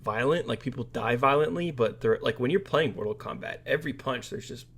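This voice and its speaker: A man's voice: medium pitch, expressive, brisk, a bit bright, breathy edge. A man co-hosting a casual film podcast, chatting informally and somewhat tentatively, often trailing off. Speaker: podcast co-host. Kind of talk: casual movie discussion podcast.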